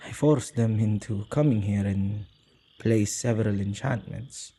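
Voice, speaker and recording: A man playing a possessive yet soft-hearted male witch in an intimate ASMR roleplay, speaking gently and haltingly in slightly archaic phrasing. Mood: somber, reluctant. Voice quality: low, husky, creaky voice.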